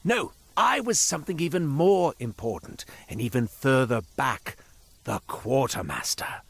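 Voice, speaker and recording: Flamboyant, animated curio merchant. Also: male voice, medium pitch, measured pace, gravelly, breathy edge, dry recording, full character voice. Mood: boastful storytelling. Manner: theatrical, flamboyant, wry and digressive. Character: chatty, self-important male curio merchant, wry and cowardly. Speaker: video game character voice actor. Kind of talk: theatrical character monologue, storytelling.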